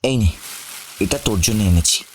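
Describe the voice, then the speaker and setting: A man's voice: lowish voice, normal speed, slight background noise, natural voice. A man playing an affectionate, teasing young husband in a romantic audio story, with an intimate, playful manner. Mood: tender, casual.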